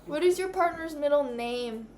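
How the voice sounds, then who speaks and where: A woman's voice: sits high, animated, normal speed, a little mellow. A woman from Quebec, Canada, chatting casually and informally as the game partner on a livestream. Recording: roomy echo with clear background noise.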